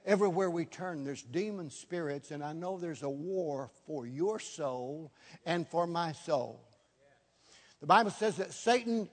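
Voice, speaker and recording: A man in his seventies delivers a charismatic sermon in a notably high-pitched voice. He repeats phrases for emphasis, and his words ring with the long reverb of a hall. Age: 70s. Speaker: preacher.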